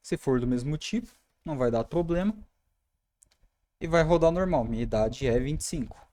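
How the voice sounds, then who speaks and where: A man's voice: a little high, lively intonation, moderate pace, warm tone, no room sound, clean studio sound. A young man with a Brazilian Portuguese accent, casually explaining code as he types in a screen-recorded tutorial. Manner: casual, talks through code while typing. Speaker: programming tutor.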